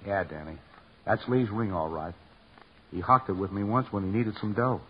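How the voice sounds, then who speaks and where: An actor playing an anxious husband pleading with the police in an old-time radio drama. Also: male voice, on the low side, moderate pace, natural voice. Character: anxious husband, theater man Georgie. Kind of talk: 1940s radio acting. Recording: muffled old radio recording, faint hiss.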